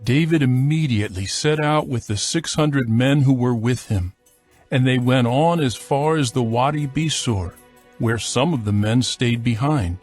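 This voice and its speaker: A man narrating scripture from a script in a solemn, measured storytelling manner. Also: medium pitch, darkish tone, soft background music.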